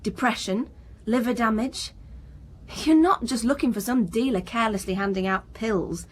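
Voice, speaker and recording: A woman's voice: fairly high pitch, moderate pace, breathy edge. A woman acting an indignant, condescending research scientist in a radio drama, clipped and lecturing. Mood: lecturing, disgusted. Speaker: actor in radio crime drama.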